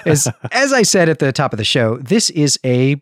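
A man's voice: a little high, very animated, normal speed, no room sound. Male podcast host explaining, very dry sound. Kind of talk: unscripted analytical literary discussion.

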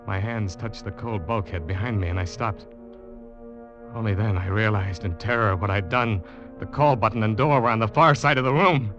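A man's voice: on the low side, close to an everyday voice. An actor playing a guilt-ridden young seaman who narrates his ordeal in tense, dramatic old-time radio style. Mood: hushed, tense dread. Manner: tense, dramatic, deliberate storytelling. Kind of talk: 1940s-50s radio drama acting, suspense narration. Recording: muffled old radio broadcast, loud music behind.

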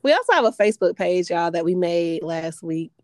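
A woman's voice: fairly low pitch, animated, brisk, no room sound, clean studio sound. A woman co-hosting a podcast in a casual chat, slightly nasal as she gets over a sinus infection, laid-back and full of slang. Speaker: podcast co-host.

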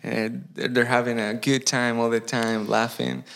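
A man's voice: medium pitch, level tone, unhurried, creaky, fairly bright tone. A man from Costa Rica with a Spanish accent, a campus pastor telling his story in a casual interview, who speaks earnestly and restarts phrases as he searches for English words. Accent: Costa Rican Spanish.

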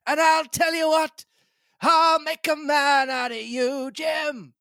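A woman reading aloud and voicing a half-crazed old male castaway pirate, drawling in old sailor's dialect and shouting. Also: sits high, fairly even intonation, takes it slowly, no echo, studio quality, voice pushed up. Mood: excited. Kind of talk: storybook read-aloud.

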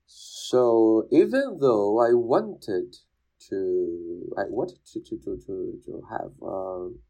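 Low-voiced man, halting English. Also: lively intonation, mellow, low-quality recording. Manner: emphatic, repeats phrases, halting non-native English. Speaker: podcast guest, language learner and teacher. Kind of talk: casual conversational interview.

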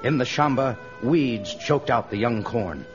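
A man's voice: medium pitch, unhurried. A man narrating an adventure serial in dramatic, scripted old-radio style. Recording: dull old radio recording, music behind. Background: music.

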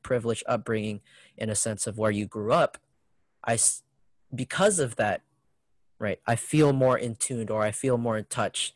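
A man's voice: fairly low pitch, no room sound. A man talking in an earnest, reflective way, often ending his thoughts with a questioning "right?". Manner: earnest, ends phrases with "right?". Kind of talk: reflective conversational talk.

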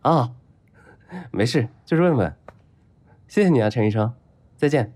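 A man's voice: medium pitch, animated, moderate pace, a little mellow, natural voice. A man acting in an audio drama as a flustered, smitten young patient, stammering and whining his way through his lines. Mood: shy, meek. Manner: stammering, whiny, exclamatory. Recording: music behind.

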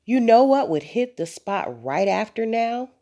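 A woman's voice: fairly low pitch, very animated, takes it slowly, a little mellow, voice pushed up, exaggerated voice. A woman voicing an eager, scheming little girl who coaxes persuasively in a children's storybook. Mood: pleading. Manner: coaxing, listing persuasively.

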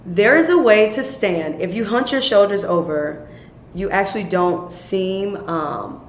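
A young woman from Chicago, an actress, talking animatedly and fast in an interview, often laughing as she tells stories. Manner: animated, quick, laughing storyteller. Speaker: stage actress. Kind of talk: interview conversation. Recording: echoey room with faint rumble.

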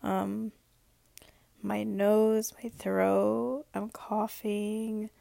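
A young woman who is sick and losing her voice talks through a late-night vlog. Her voice is hoarse and gravelly and at times fades to a whisper, with a flat, even melody. She rambles and reflects, and the sound is dry and close with no room around it. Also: mid-range voice, very slow, no echo. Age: young adult. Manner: rambling, reflective, many fillers.

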